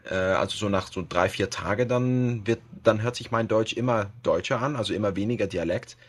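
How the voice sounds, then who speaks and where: A man with a Dutch accent speaks German as a second language in a relaxed podcast chat. His voice sits a little low, and he searches for words, filling gaps with hesitations and restarting sentences. He laughs now and then as he talks. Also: moderate pace, slightly rough. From Netherlands. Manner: hesitant, many fillers and restarts, laughs often. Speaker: podcast guest, web radio host. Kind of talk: casual podcast interview.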